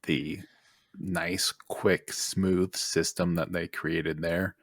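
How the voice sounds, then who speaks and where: A man with a low, husky voice chats casually as a podcast panelist. He sounds close and dry, with no room around him. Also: level tone, drawn-out words, dry recording.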